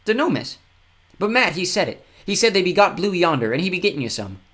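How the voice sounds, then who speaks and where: A man's voice: high-pitched, big pitch swings, brisk, fairly bright tone, voice pushed up. A man voicing a grubby, cheeky young boy from the fen who talks in broken dialect grammar. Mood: eager.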